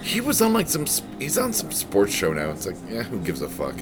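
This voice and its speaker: Deep-voiced male podcast co-host, rambling and crude, recorded in a restaurant with music behind. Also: wildly swooping pitch, fairly quick. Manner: rambling, crude jokes, many fillers. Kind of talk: casual chat.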